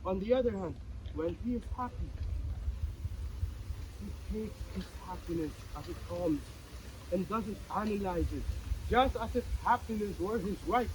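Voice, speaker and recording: A man playing an anguished, philosophizing character in a dramatic play reading, declaiming deliberately with dramatic pauses. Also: high voice, a little hiss or hum, rough recording. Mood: earnest, reflective. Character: anguished, philosophizing male character pleading with a gentleman.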